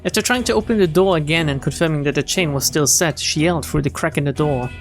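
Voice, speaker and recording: A man with a slightly high voice reads a visual novel aloud on stream. He drops in wry, casual commentary between passages. Soft game music plays behind him. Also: quick pace. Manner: wry, chuckling asides, casual swearing.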